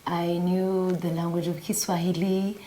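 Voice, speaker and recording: A woman's voice: lowish voice, little pitch movement, measured pace, background noise. A woman answering interview questions as a refugee, a bit hesitant and searching for words.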